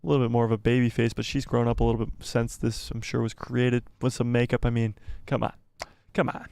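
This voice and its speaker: A man hosting a live stream, chatting casually and reading out viewer comments. His voice is going hoarse and he rambles and repeats himself as he thinks aloud. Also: medium pitch, little pitch movement, brisk, darkish tone, dry recording. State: losing his voice, coughing. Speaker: livestream host.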